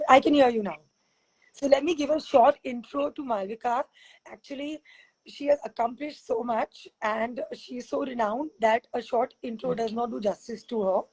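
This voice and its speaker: A woman hosting a relaxed interview over a video call, her voice somewhat high and husky from long days of teaching. She talks in a chatty, rambling way, with plenty of laughs. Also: moderate pace, rough recording. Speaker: fitness expert and host.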